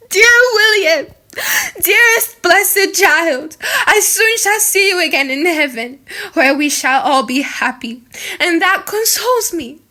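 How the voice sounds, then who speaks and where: A woman's voice: very high-pitched, lively intonation, takes it slowly, sharp tone, pitched above the performer's own voice, exaggerated voice. A woman voicing a pious, wretched young servant woman condemned to die, pleading and emotional in a dramatic audiobook. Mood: anguished, sobbing. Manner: sobbing, pleading, breathy outbursts. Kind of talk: dramatic audiobook character acting.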